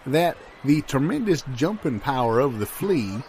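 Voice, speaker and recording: Folksy, pompous councilman with animated delivery, acted by a man. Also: medium pitch, a little slow, a little hiss or hum. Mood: earnest, opinionated. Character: folksy self-important small-town city councilman. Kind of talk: improvised comedy dialogue.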